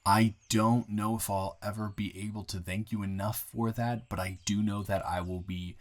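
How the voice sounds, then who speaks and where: Male actor as smitten young man, lowish voice, halting and rambling, shy, grateful, intimate romantic audio drama. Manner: halting, rambling, earnest, breaking into soft laughs. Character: earnest, grateful young man shyly confessing love to a friend. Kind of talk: improvised romantic audio roleplay, intimate monologue.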